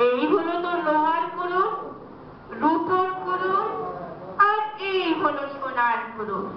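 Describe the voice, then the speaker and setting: A woman playing a kindly, motherly water goddess in a folk-tale drama, speaking in a stately, benevolent, drawn-out way. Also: very high voice. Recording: very echoey, distant, dull, faintly noisy.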